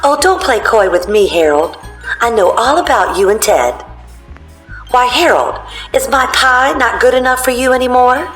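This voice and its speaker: A woman's voice: a little high, moderate pace, a bit bright, faint music behind, lightly acted, rough recording. A woman playing a jealous, accusing housewife, melodramatic and indignant, in a cheesy old-time radio drama.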